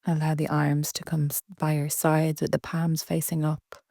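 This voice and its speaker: A woman leading a guided relaxation meditation in a soft, breathy, soothing way with long pauses. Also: deep voice, completely flat delivery, no echo, studio quality. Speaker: yoga nidra meditation guide.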